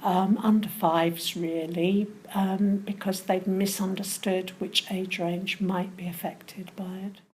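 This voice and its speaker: Measured woman explaining her work. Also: medium pitch, little pitch movement, a little mellow, breathy edge, rough recording. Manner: measured, explanatory, with pauses and fillers. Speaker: community safeguarding worker. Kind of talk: recorded interview.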